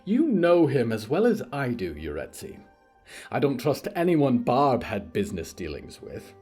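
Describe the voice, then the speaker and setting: A man's voice: mid-range voice, huge pitch swings, a little slow, a little mellow, breathy edge, faint music behind, heavily acted. A man voicing a proud, protective, irritable man in an audio horror drama, speaking in an insistent, formal way. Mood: firm, protective.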